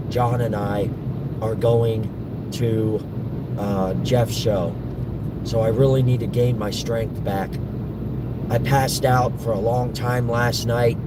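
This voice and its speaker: A man with a worn-out, hoarse voice chatting haltingly to his stream viewers while driving. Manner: halting, restarts often, defensive. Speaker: live streamer answering chat. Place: in a moving car. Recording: loud car road noise. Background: road and engine rumble.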